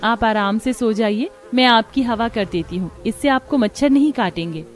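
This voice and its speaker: A woman's voice: fairly high pitch, moderate pace, lightly acted. A woman playing a patient, dutiful young bride in a cartoon moral story, speaking politely and earnestly. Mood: gentle, reassuring.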